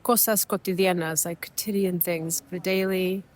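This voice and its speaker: A woman's voice: fairly low pitch, steady tone, moderate pace. A young woman from Mexico whose first language is Spanish, co-hosting a podcast with meandering, reflective casual chat full of fillers and restarts, recorded at an airport. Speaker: podcast co-host. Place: airport waiting area.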